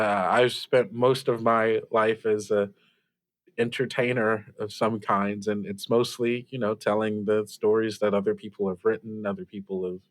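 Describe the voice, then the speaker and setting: A man's voice: on the low side, natural voice. A man playing an earnest, awkward young radio host in improvised audio drama, chatting casually with hesitant, stammering, self-effacing speech. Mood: reflective.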